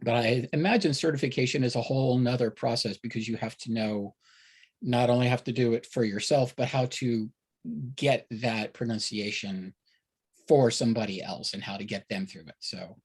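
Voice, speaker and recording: Male interviewer, medium pitch, rough husky tone, casual and halting. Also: steady tone. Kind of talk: casual conversation.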